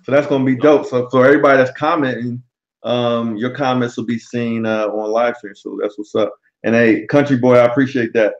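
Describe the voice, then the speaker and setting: A man in his forties hosting a casual livestream chat, relaxed and often pausing on um. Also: medium pitch, dull audio. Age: 40s. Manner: relaxed, frequent um fillers. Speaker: livestream host. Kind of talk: casual live-stream chat.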